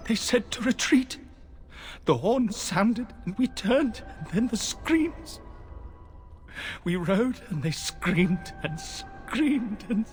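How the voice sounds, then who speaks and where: An actor playing a mad, traumatised soldier in a video game, rambling in broken, repeated phrases. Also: male voice, high voice, lively intonation, takes it slowly, faint music behind. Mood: haunted, rising panic. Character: mad, traumatised male soldier haunted by slaughter. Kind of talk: video game cutscene acting.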